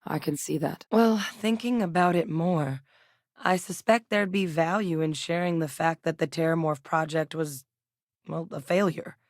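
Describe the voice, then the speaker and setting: An actress voicing a composed adult woman, a scientist and soldier in a game, speaking in a measured, earnest, matter-of-fact way. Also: sits low, a little mellow, no echo, clean studio sound. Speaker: video game character. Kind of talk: game voice lines. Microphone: close studio mic.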